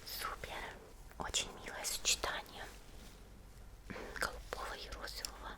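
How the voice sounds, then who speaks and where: Woman playing a whispering, friendly monster girl, gentle. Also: natural voice. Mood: gentle, attentive. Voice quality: soft breathy whisper. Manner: gentle, unhurried, murmuring to the listener. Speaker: ASMR video creator. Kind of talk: whispered ASMR roleplay.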